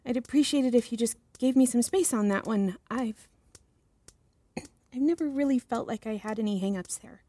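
An actress playing a young, earnest and deferential patient in a fiction podcast, speaking in a hesitant, careful, apologetic manner. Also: female voice, on the high side, normal speed, dark tone, no echo, lightly acted. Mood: guardedly earnest. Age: young adult. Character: young female patient, earnest, deferential, guarded, eager to please.

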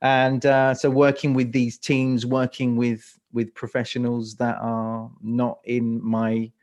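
A man's voice: mid-range voice, completely flat delivery, slow pace, darkish tone. A man with a London accent, a speaker coach answering interview questions, often restarting and repeating words as he thinks. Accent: London English. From England.

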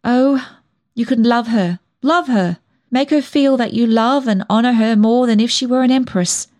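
Severe older woman, blunt, solemn scold with a soft heart, for storybook reading, played by a female narrator. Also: lowish voice, moderate pace, lightly acted. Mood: flustered, earnest. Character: stern, severe older female cousin, indignant, soft underneath.

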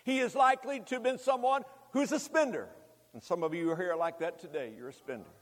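High-pitched male preacher in a reverberant hall. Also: a little fast. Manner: emphatic rhetorical repetition, addressing congregation directly. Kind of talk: sermon. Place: large hall, preaching to a congregation.

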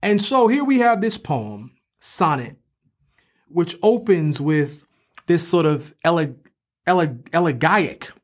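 A man's voice: a little high, expressive, slow pace. A man speaking as a professor and co-host, explaining literature in a scholarly way and often posing rhetorical questions.

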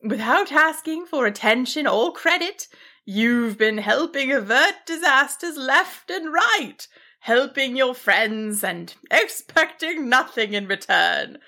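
A huge, gentle-natured dragon from a children's tale, acted by a woman. It speaks in a loud, deliberate, unhurried way, kindly and full of reassurance. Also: high voice, expressive, pitched above the performer's own voice, heavily acted. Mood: kindly, reassuring. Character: giant kind-hearted dragon, booming and reassuring.

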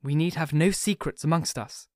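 A male narrator voicing a gentle, grieving young woman who speaks earnestly and with resolve, in a dry audiobook recording. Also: fairly high pitch, moderate pace, no echo, voice pushed up, close to an everyday voice. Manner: soft and earnest.

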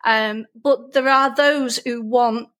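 Woman, middle-aged, broad Lancashire accent, fairly high voice, chatty, explanatory, interview guest. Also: steady tone, slow, fairly bright tone. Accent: broad Lancashire English. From Lancashire, England. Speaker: interview guest, painting teacher. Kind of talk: casual interview chat.

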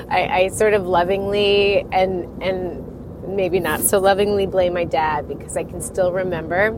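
A middle-aged woman hosts her podcast with a stuffy, cold-congested voice. She rambles in a chatty way at an even middle pitch and laughs often. Steady background noise runs clearly under her voice. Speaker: podcast host and divorce coach. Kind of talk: casual solo podcast talk.

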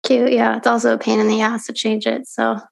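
A woman hosting an interview with an upbeat manner and quick asides. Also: fairly high pitch, level tone, gravelly. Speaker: interviewer, host. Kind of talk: casual interview.

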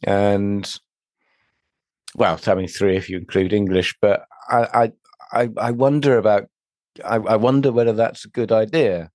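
A man answering a question about languages hesitantly, with many pauses and restarts. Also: fairly low pitch, fairly even intonation, darkish tone, no echo, clean studio sound. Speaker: interviewee.

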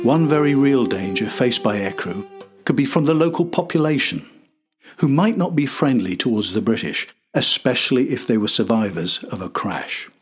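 A man's voice: mid-range voice, big pitch swings, normal speed, slightly rough, faint music behind. An older man narrating a scripted history podcast as its host.

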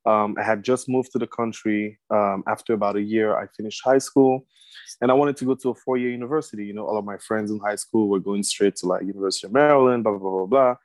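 A young man from Cameroon gives a casual personal account as an interview guest. His voice sits on the low side. The sound is dry, with hardly any room echo. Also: fairly even intonation, fast. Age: young adult. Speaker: podcast guest, medical student.

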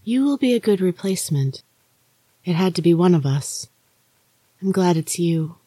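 Narrator doing a ghost sister from a story, lighter higher voice, soft n earnest, kinda mysterious. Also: lively intonation, moderate pace, husky, dry recording, poor audio. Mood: tender, earnest.

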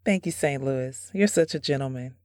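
A woman's voice: very deep voice, normal speed, no echo, studio quality. A woman playing a sweet, polite young woman being courted in an audio drama. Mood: tender. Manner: gentle, polite.

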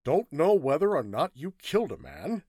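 A man's voice: a little high, sing-song, normal speed, a little mellow, husky, dry recording, studio quality, voice pushed up, full character voice. A man voicing an incredulous, curious newspaper reporter in an audiobook story, speaking in a questioning way.

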